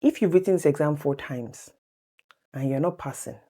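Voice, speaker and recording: A woman in her thirties telling her exam story to viewers in a chatty, animated way. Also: low voice, normal speed. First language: English. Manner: animated, chatty, addresses viewers as guys, laughs easily. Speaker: vlogger sharing exam tips. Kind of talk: casual personal storytelling to camera.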